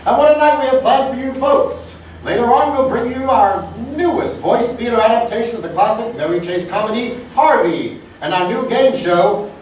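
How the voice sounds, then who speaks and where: A man's voice: very high-pitched, moderate pace, darkish tone. A man announcing a live radio variety show with a showy, projected stage delivery. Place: theater stage before a live audience. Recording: very echoey hall, distant mic, noisy.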